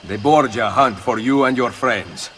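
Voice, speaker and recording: A man's voice: mid-range voice, unhurried, a bit bright, a little hiss or hum, natural voice. A man voicing a stern, curt Italian nobleman assassin with an Italian accent in a video game. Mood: guarded, resolute. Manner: curt, commanding.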